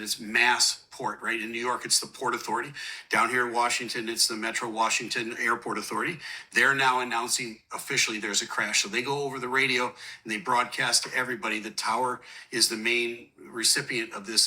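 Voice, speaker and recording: A man with a very bright, forceful voice gives explanatory commentary. His manner is earnest and somber, and he speaks plainly, like an analyst walking listeners through a recording. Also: medium pitch, flat delivery, brisk, rough recording. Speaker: host, aviation analyst explaining air traffic control audio.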